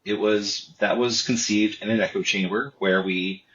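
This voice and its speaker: A man speaks in a lowish voice, telling the story behind his comic in a casual interview. He stalls on repeated words before he gets going. The sound is muffled and lo-fi, like a remote call. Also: moderate pace. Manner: repetitive restarts, animated storytelling. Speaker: comic artist guest.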